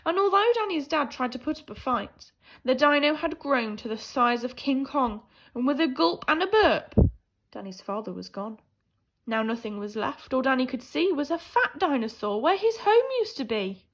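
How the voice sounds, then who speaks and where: A woman teacher reading a children's picture book aloud in an animated, theatrical way, swinging between hushed and emphatic delivery. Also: high-pitched, moderate pace. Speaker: primary school teacher reading a story.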